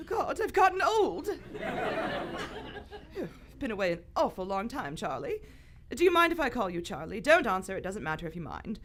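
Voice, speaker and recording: A woman's voice: mid-range voice, big pitch swings, normal speed, long pauses, a bit bright, a little hiss or hum. A woman playing a witty, defiant aristocratic adventuress in her thirties, sardonic and theatrical, in live radio theater. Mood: wry, concerned.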